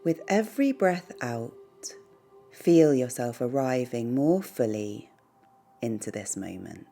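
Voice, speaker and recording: A woman leading a guided meditation in a soothing, gentle, unhurried way, pausing between short phrases. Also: a bass voice, lively intonation. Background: background music.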